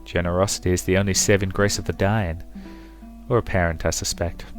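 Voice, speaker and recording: A man playing a gentle, weary dying father in a visual novel, speaking in a halting, hushed way. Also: sits low, steady tone, faint music behind, natural voice. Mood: earnest, weak. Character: dying gentle father, tender, weary, giving last advice.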